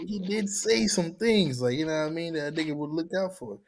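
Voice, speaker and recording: A man's voice: on the high side, lively intonation, brisk, gravelly. A man telling stories in blunt, profane street slang during a casual podcast chat. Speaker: podcast guest. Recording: noisy background hiss.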